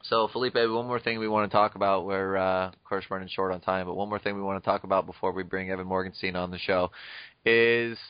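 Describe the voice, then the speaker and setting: Low-voiced chatty male podcast host. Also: fairly even intonation, a little fast, smooth, dry recording, dull audio. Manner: chatty, frequent fillers, amused asides. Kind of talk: casual podcast discussion.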